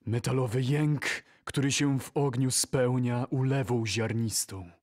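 A man reciting poetry for an audio drama in a solemn, measured manner. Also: mid-range voice, steady tone, a little mellow.

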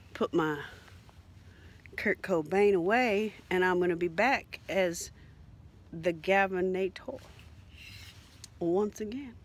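A performer voicing a rambling, theatrical, crude mock Southern grifter in an improvised satirical monologue, with drawling, melodramatic repetition. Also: mid-range voice. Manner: drawling, melodramatic, repetitive, lurching from whispers to shouts.

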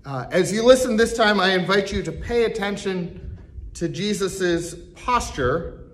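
A middle-aged man, a pastor, reading scripture aloud in a church sanctuary, slowly and deliberately with emphatic pauses. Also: high-pitched, expressive, a bit bright.

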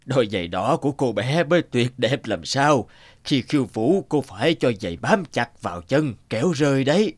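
A man's voice: mid-range voice, sing-song, no echo. A man voicing a stern, sly old sorcerer in a cartoon fairy tale, with theatrical delivery. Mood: courteous, sly. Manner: slow, theatrical, pronouncing.

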